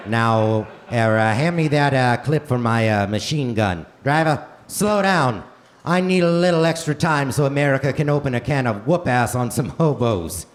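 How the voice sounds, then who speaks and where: A man playing a swaggering American president with a Boston accent and halting, uh-filled delivery in a comedic radio play. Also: mid-range voice, animated, close to an everyday voice. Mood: gung-ho. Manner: halting, peppered with drawn-out ers and uhs. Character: heroic swaggering American president, folksy and hesitant, JFK parody. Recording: noisy live theater stage recording.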